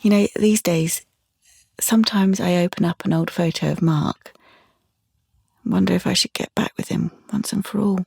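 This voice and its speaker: Husky, wary young woman. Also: lowish voice, fairly even intonation, moderate pace, darkish tone, no room sound, clean studio sound, heavily acted. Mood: wistful, undecided. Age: young adult. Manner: musing, wavering. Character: wistful young woman, wary after betrayal. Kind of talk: audio play monologue.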